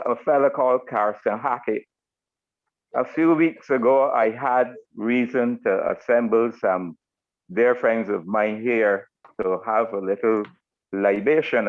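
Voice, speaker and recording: An older man with a Barbadian accent gives informal thanks on a group call, speaking in formal, deliberate phrases. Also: mid-range voice. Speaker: retired military officer giving closing remarks. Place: online video meeting. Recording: narrow phone-like video call audio.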